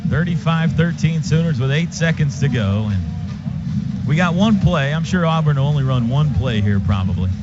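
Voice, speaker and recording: A man's voice: fairly high pitch, animated, normal speed, loud music behind the voice. An American man doing live radio play-by-play of football, in clipped phrases during play and conversationally between plays. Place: stadium radio booth. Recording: dull, noisy live stadium radio broadcast.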